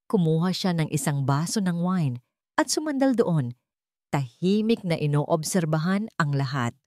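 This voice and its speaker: A woman's voice: deep voice, expressive, measured pace, darkish tone, no room sound, studio quality. A woman with a Filipino accent narrating a scripted romantic drama story in a dramatic storytelling style.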